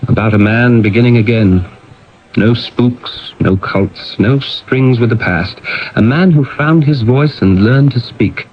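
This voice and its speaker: A man's voice: mid-range voice, unhurried, husky, highs cut off. An actor playing a thoughtful, solemn man explaining things in a 1970s radio drama. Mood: reflective, solemn. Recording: band-limited 1970s radio broadcast.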